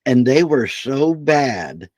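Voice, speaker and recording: A man's voice: mid-range voice, big pitch swings, slow pace, darkish tone, no room sound. Easygoing Midwestern man, rambling. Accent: American Midwest. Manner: rambling, easygoing, casual swearing. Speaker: podcast host. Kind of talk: casual chat.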